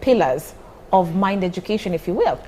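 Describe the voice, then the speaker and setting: A woman's voice: fairly low pitch, animated, moderate pace, mellow. A woman hosting a radio interview, fluent and attentive, reading listener messages and prompting her guest. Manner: attentive, constant "mm-hmm" backchannels, fluent presenter reading listener texts. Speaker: radio show host.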